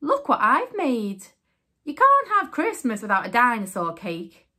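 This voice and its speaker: A woman voicing a jolly, boastful fox in a children's storybook, exclaiming with drawn-out words. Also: on the high side, wildly swooping pitch, pushed and bright, heavily acted.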